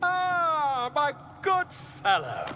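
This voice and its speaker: A self-important would-be scholar and bard from a fantasy game. His voice is high and bright, leaping all over with theatrical flourish. He talks in florid, pompous exclamations over faint background noise. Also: huge pitch swings, drawn-out words, exaggerated voice. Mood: eager, boastful. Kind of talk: video game character acting.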